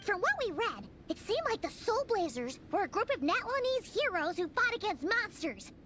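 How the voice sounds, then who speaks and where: A woman voicing a tiny, childlike, chirpy fairy companion in a video game, squeaky in tone. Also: very animated, moderate pace, very noisy. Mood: curious.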